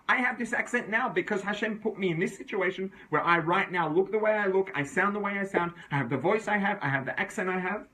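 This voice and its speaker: A man's voice: high voice, pushed and bright, rough recording. A man teaching an informal religious class, reasoning aloud in a conversational way and often checking in with his listeners. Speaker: Torah teacher.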